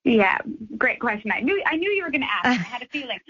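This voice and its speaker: Husky, bright-voiced woman, academic guest. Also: fairly high pitch, big pitch swings, fast talker, muffled recording. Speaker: academic researcher, interview guest. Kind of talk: explanatory radio interview.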